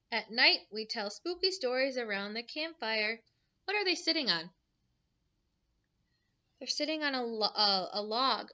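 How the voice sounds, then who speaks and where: A woman's voice: on the high side, pushed and bright, muffled recording. A woman teacher reading a picture book aloud to young children, adding simple explanations and questions in an instructive way.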